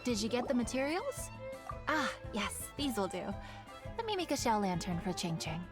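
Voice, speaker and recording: A kindly young lantern maker speaks gently and motherly to a child. Her voice sits a little high. Faint game music plays behind. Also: very animated, normal speed. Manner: warm and motherly toward the child. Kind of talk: video game dialogue.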